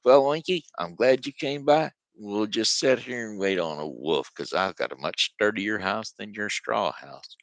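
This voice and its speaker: A man voicing frightened little pigs in a storybook, in a high squeaky voice. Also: expressive, unhurried, few pauses, a bit bright, no echo. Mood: scared.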